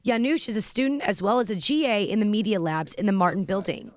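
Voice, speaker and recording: A woman reporter reading a scripted student TV feature in a clear, even way. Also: mid-range voice, fast talker, no echo. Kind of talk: scripted news narration.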